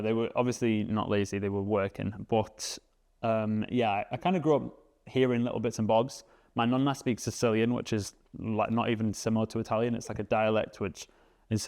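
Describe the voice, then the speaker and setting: Man, twenties, fairly low voice, relaxed rambling interview guest. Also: vocal fry. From England. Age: 20s. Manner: relaxed, rambling with many fillers. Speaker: guest, vegan cook. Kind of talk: casual interview chat.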